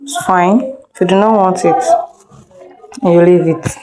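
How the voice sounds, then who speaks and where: A woman's voice: on the low side, fairly even intonation, unhurried, noisy background. A woman teaching an informal step-by-step screen tutorial, instructing directly, repeating herself and checking that learners follow. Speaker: video editing instructor.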